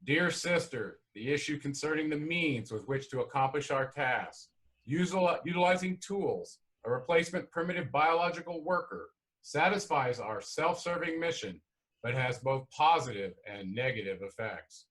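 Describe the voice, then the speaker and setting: A man's voice: on the high side, expressive, normal speed, fairly bright tone, poor audio. Man reading as a self-assured, lofty alien god. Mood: formal, measured. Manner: formal, didactic, philosophical. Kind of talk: screenplay read-through.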